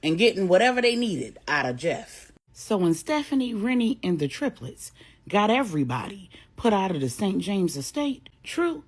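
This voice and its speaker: Husky, expressive woman storyteller. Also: medium pitch, moderate pace. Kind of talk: dramatic scripted story narration for adults.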